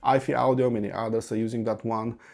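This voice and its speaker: A man's voice: mid-range voice, steady tone, quick pace, creaky, a little mellow. Man reviewing audio gear. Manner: detailed, enthusiastic product walkthrough with occasional fillers. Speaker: product reviewer. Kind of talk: scripted tech review.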